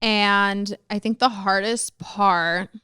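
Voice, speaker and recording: A woman's voice: medium pitch, takes it slowly, clean tone, no room sound, clean studio sound. A woman guest in an office, chatting casually and easily, often saying like. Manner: relaxed, laughs easily, says like often. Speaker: guest, marketing director. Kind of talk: casual chat.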